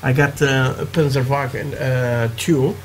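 A man from Portugal with a Portuguese accent, chatting haltingly through a hobby tutorial with many fillers, while building at a workbench. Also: medium pitch, flat delivery, slight background noise. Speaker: scale model builder. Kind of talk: casual hobby tutorial talk. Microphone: camera over work desk, hands only.

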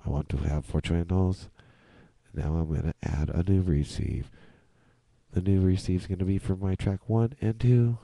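A man presenting an informal software walkthrough, playfully chanting and repeating words as he goes. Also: sits low, fairly even intonation, normal speed, vocal fry, no room sound. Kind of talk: informal screen-recorded walkthrough.

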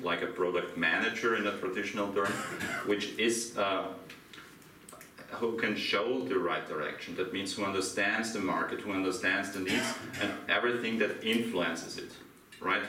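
Austrian-accented man, deep, bright in a roomy hall. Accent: Austrian German. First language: German. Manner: asks the audience questions, laughs lightly. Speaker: conference speaker. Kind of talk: interactive conference talk. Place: dim hotel conference room, small audience. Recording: echoey room from far off, faint hiss.

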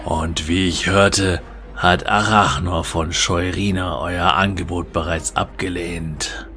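A man voicing a cold, defiant old pirate admiral with a deep, hoarse voice in a fantasy story. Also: steady tone, pushed and bright, heavily acted. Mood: cold challenge. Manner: slow, challenging.

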